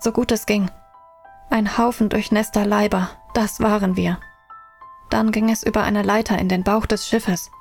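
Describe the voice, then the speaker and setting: A woman narrating a radio drama in the first person, steady and vivid. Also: medium pitch, lightly acted.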